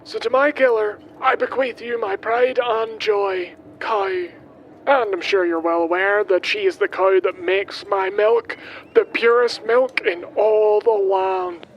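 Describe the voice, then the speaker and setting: A man's voice: unhurried, muffled recording. A man playing an eccentric milkman who delivers his taped last will in a grandiose, ominous, theatrical way, in audio drama style. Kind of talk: scripted audio drama monologue. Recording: noisy taped message with background rumble.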